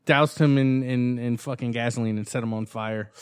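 A man's voice: medium pitch, steady tone, normal speed, clear, resonant tone, dry recording, clean studio sound. A man co-hosting a podcast, chatting casually and telling stories in a blunt, profane way. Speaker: podcast co-host. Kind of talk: casual comedic chat, paraphrasing news.

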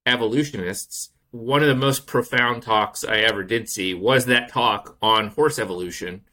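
Man, podcast co-host, bright tense voice, enthusiastic and explanatory. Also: lowish voice, normal speed. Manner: enthusiastic, explanatory, building up to a reveal. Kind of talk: podcast introduction and chat.

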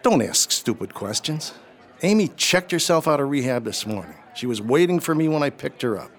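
A man's voice: mid-range voice, sing-song, moderate pace. A man acting a bitter, impatient, hard-drinking husband in a radio drama. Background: music under the voice.

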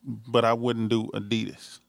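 A man's voice: medium pitch, level tone, measured pace, gravelly, dry recording. A man with an American accent speaking as a podcast guest in casual, matter-of-fact, slangy sports chat.

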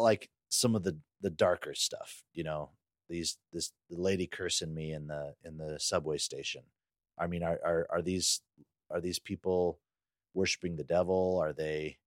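A man's voice: sits low, normal speed, dry recording, clean studio sound. A man co-hosting a podcast, chatting casually and putting questions in a conversational way. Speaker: podcast co-host.

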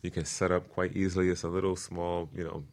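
A man's voice: low voice, little pitch movement, fast talker, a little mellow. A man speaking as an expert guest in a casual interview, explaining practical things earnestly and step by step, often checking in with "right?". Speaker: guest permaculture designer explaining his work.

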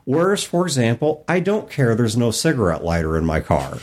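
A man's voice: mid-range voice, big pitch swings, brisk, a little mellow. A man co-hosting a podcast, chatting casually and informally about tabletop games. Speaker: podcast co-host.

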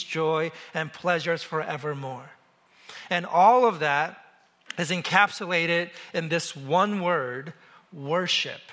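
A man in his forties from South Korea delivers a sermon in a bright, forceful voice that sits a bit high for a man. He speaks in a measured, emphatic way and lingers on each word when he lists things. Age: 40s. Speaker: pastor.

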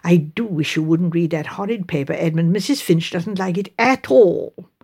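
A woman's voice: lowish voice, normal speed, a little mellow, husky, pitched below the performer's own voice. A woman voicing a middle-aged, upper-middle-class English village mother who rambles on in a fussy, opinionated, genteel way. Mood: reproachful, plaintive.

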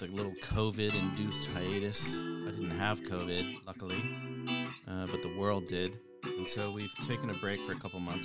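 Male podcast host, earnest and measured, bright and dry. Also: fairly low pitch, no room sound. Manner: earnest, measured, with frequent ums. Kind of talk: podcast interview.

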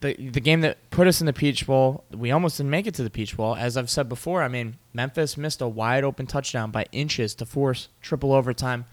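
A young man co-hosting a casual sports podcast, telling game stories in an animated way and laughing often. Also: mid-range voice, brisk, hardly any pauses. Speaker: podcast co-host.

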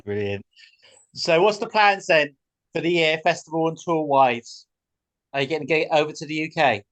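A man's voice: a little high, lively intonation, normal speed, fairly bright tone, low-quality recording. UK man, interview host; keen and gushing. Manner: enthusiastic, gushing praise. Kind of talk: casual interview chat.

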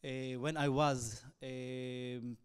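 A man from Israel, a native Arabic speaker, telling his personal testimony in hesitant, halting English full of fillers. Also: mid-range voice, fairly even intonation, clean tone. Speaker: evangelist.

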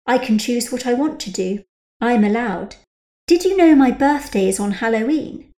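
A woman's voice: fairly high pitch, animated, normal speed, warm tone, reverberant, pitched above the performer's own voice, natural voice. A woman voicing an odd, insolent eighteen-year-old girl who talks childishly and boastfully.

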